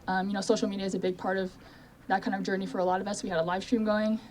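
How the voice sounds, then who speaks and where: A woman's voice: medium pitch, flat delivery, rapid-fire, a little mellow, slight background noise. A young adult woman co-hosting an event, speaking informally to an audience. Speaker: event co-host. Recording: outdoor event with crowd sounds. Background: crowd.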